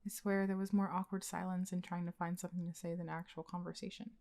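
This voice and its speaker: A young woman acting as a blunt, secretly smitten best friend in an intimate, conversational ASMR roleplay, with a casual, expressive delivery. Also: lowish voice, quick pace, vocal fry. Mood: exasperated, casual. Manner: casual, expressive, sighing, sweary.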